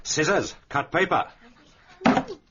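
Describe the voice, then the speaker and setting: An actor playing a suave, wry British secret agent in a radio drama, composed and flirtatious, with a British accent. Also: male voice, on the high side, wildly swooping pitch, takes it slowly, very noisy, heavily acted. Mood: competitive. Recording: muffled radio broadcast with music behind.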